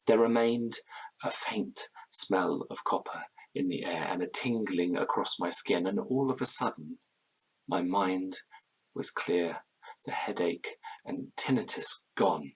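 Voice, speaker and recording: An actor playing a fearful, haunted gentleman, telling his ghostly tale in formal, grave gothic prose. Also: sits low, a little slow, breathy edge, low-quality recording. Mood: shaken, dread. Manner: formal, literary, grave phrasing. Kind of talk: dramatic first-person gothic storytelling.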